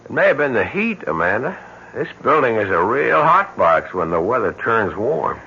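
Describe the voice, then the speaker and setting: A man's voice: mid-range voice, big pitch swings, normal speed, bright, forceful tone, slightly rough, full character voice. An actor playing a concerned, level-headed male friend in a radio mystery drama, speaking in an earnest, practical, conversational way. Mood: persuasive. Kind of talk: old-time radio mystery drama acting. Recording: dull, noisy old radio recording.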